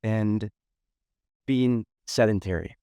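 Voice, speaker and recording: Low-voiced, direct male host. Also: takes it slowly, warm tone, smooth, dry recording. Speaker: podcast host. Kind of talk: interview questions.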